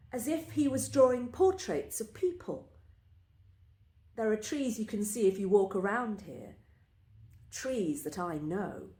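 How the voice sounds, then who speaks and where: A woman reading a first-person literary story aloud in a measured, deliberate storytelling manner. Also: mid-range voice, dark tone. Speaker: story reader. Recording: roomy, echoing space.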